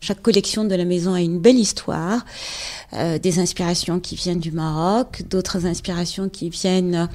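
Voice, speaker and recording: Unhurried middle-aged woman from Morocco. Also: fairly low pitch, fairly even intonation, darkish tone. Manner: measured and unhurried, pausing often to find words. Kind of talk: casual interview, explaining her trade.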